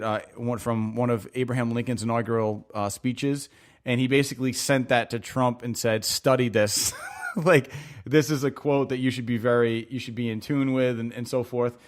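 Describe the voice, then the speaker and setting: A man in an easygoing podcast chat, speaking at an ordinary mid pitch. He rambles through his points with plenty of fillers and laughs easily along the way. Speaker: podcast co-host. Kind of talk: casual chat.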